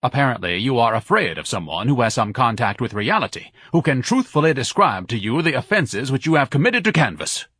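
A man voicing a pompous, indignant male hot dog vendor in an audiobook reading, loud and theatrical. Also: medium pitch, very animated, brisk, few pauses, breathy edge, dry recording, clean studio sound, pitched above the performer's own voice, full character voice.

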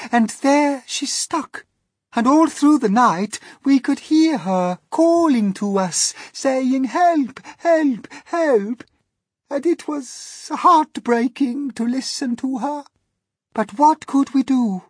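A woman's voice: on the high side, a little mellow, husky, no echo, studio quality, pitched above the performer's own voice, full character voice. A woman voicing a genteel, mournful female spider who tells her sad tale dramatically. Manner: dramatic, tearful storytelling.

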